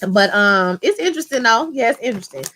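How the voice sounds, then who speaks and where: A woman's voice: fairly high pitch, quick pace. A woman in her thirties from Louisiana with a New Orleans accent, chatting casually with her live-chat viewers while reviewing a TV episode. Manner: chatty, animated, talks to chat as "y'all". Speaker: show reviewer live-streaming.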